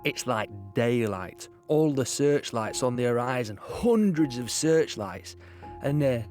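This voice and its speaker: An actor playing a young British soldier, earnest and shaken, recalling the war haltingly in an audio drama. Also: male voice, mid-range voice, expressive, a little mellow, faint music behind, lightly acted. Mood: uneasy, reflective. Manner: halting, plain-spoken, reflective. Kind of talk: audio drama monologue, conversational recollection.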